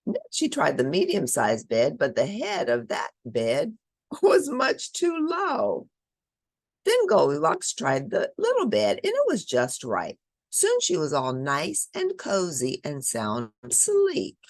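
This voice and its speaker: A woman's voice: low-pitched, wildly swooping pitch, slow, no echo. A woman reading a picture book aloud to children, expressively, with dramatic emphasis and chatty asides. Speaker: children's storybook reader.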